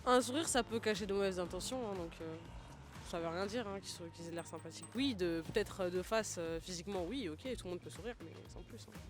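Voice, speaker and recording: A man's voice: high voice, fast, over music. A man passerby giving critical opinions, often searching for the right words. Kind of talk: casual street interview opinion. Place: outdoors on a city street.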